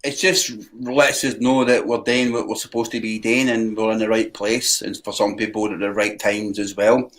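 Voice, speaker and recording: A man with a low voice chats casually on a live stream. He praises a colleague and keeps adding "you know what I mean". He talks loosely and plainly. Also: level tone, moderate pace, hardly any pauses, fairly bright tone. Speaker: community radio station organizer. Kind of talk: casual chat.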